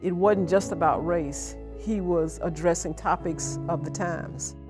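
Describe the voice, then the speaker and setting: A woman's voice: on the low side, measured pace. An older woman, a retired professor, giving explanatory interview talk. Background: music.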